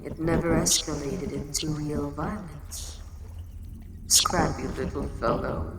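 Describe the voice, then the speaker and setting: Low, slow, echoing giant monster queen, acted by a woman. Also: heavily acted. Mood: fond, amused. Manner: measured, matter-of-fact, slightly formal speech. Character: giant female kaiju queen, ancient, regal, calm and protective. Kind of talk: scripted roleplay audio drama monologue. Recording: very echoey cavern-like reverb, loud background noise.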